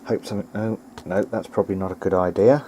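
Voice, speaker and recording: A man's voice: lowish voice, fast, dark tone. A man giving casual, unscripted commentary as a hobbyist at his workbench, hesitant and tentative as he thinks aloud. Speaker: hobbyist video maker repairing vintage audio gear. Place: home workbench, speaking behind a handheld camera. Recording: clearly noisy amateur home recording.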